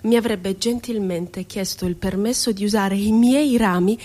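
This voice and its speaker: A woman's voice: medium pitch, normal speed, mellow, close to an everyday voice. An actress playing a passionate, wounded woman poet shut away in an asylum, musing aloud with irony and tenderness in a theatrical radio monologue. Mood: animated, confiding. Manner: dramatic, ironic, shifting between tender and indignant.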